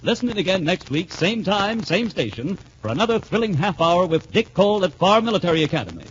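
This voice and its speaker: High-pitched American radio announcer, a man. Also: big pitch swings, brisk. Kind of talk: emphatic 1940s radio announcing. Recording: muffled 1940s radio recording.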